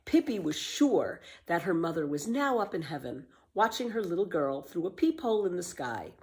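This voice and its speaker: A woman reading a children's book aloud as a storyteller, with expressive, emphatic scripted narration. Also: fairly low pitch, normal speed.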